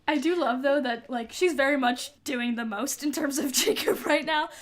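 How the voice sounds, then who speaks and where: A woman's voice: sits high, normal speed. A woman co-hosting a podcast in casual banter about a book, giggly and mock-outraged, swearing and often saying "like". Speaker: podcast co-host.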